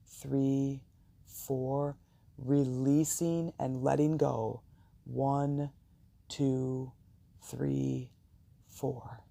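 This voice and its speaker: Slow, very dark gravelly guided meditation voice. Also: mid-range voice, no room sound. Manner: slow, calm, soothing, deliberate pauses.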